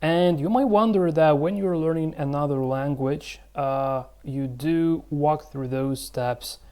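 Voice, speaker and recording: A man's voice: a little high, slow pace, warm tone, smooth. A young man, a native Russian speaker with a Russian accent, explains his language-learning method in unscripted talk, with many fillers and restarts.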